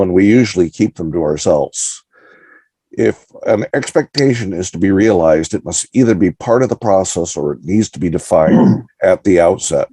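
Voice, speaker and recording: An older man giving a lodge presentation over a video call, pausing now and then to sort out his screen. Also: low-pitched, mellow, slightly rough, no room sound. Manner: halting, pauses while sorting out his screen. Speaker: guest speaker. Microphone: computer mic on a video call.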